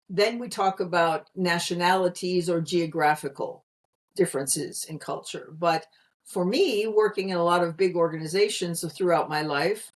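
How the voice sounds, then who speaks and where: A middle-aged woman from Pennsylvania with an American accent, a guest explaining her work in an articulate, friendly way over a video call. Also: medium pitch. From Pennsylvania, USA. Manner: articulate, explanatory, light laughs. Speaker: guest, communication consultant. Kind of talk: conversational interview answer.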